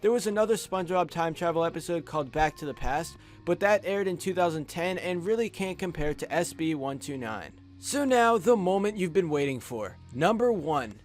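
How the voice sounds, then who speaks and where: A man's voice: on the high side, lively intonation, normal speed, few pauses, faint music behind. A young adult man giving scripted top-five countdown commentary as a video host, speaking into a close microphone. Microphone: close USB studio microphone.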